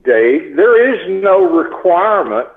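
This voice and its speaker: A man's voice: high-pitched, sing-song, takes it slowly. A man on a tinny phone line, arguing insistently with a caller as an annoyed homeowner. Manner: insistent, repeatedly addressing the caller by name. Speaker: homeowner receiving a prank call. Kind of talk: argumentative phone conversation.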